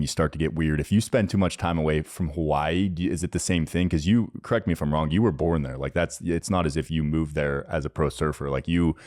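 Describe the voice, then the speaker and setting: A man's voice: extremely low pitch, darkish tone, no room sound, clean studio sound. A man co-hosting a podcast, asking long, winding questions that he keeps correcting mid-sentence. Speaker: podcast co-host. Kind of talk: casual podcast interviewing. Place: podcast studio.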